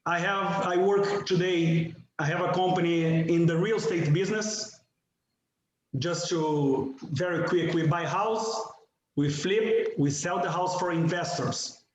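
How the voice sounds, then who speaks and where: Brazilian man in his 40s, high voice, slow and halting, speaking English as a second language, very echoey room with faint noise. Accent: Brazilian Portuguese. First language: Portuguese. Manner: halting, apologetic about his English, asks the audience questions. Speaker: board candidate. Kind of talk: candidate speech at a meeting.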